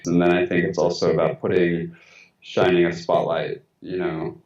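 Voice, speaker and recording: A man's voice: low-pitched, little pitch movement, moderate pace, a little mellow. A man with an American accent, a guest on a phone-in interview, thoughtful and hesitant with many fillers. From Mexico. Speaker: guest writer. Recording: tinny echoey phone line.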